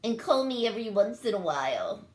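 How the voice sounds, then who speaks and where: A woman's voice: medium pitch, very animated, a little fast, roomy sound, pitched above the performer's own voice, close to an everyday voice. A woman voicing a caring, fretful alien mother from a children's picture book, sending her children off. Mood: fond, calling out.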